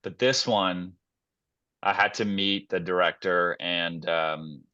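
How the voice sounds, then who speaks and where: A young man with a lowish voice tells stories in an easygoing, rambling way on a podcast, full of fillers. The sound is dry and a little dull, as if from a remote call. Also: slow pace, no room sound. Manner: relaxed storyteller, many fillers and restarts. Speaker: podcast guest, actor. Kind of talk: casual podcast chat.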